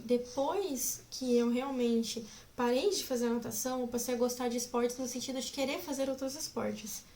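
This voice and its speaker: Young woman, twenties, chatty, giggly, rambling, higher voice, faint background hiss. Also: a little mellow. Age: 20s. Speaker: vlogger. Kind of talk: casual chat to camera.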